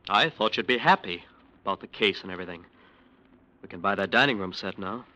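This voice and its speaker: An actor playing a smooth-talking, defensive lawyer in a 1940s radio drama. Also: male voice, on the low side, animated, brisk, pushed and bright, rough recording. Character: defensive, self-justifying male lawyer.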